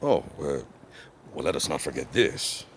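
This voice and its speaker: An actor playing a suave, ambitious gentleman suitor of 1916 in a period audio drama, with courtly and grandiose formal speech. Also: a bass voice, big pitch swings, unhurried, full character voice. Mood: tender. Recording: clear background ambience noise.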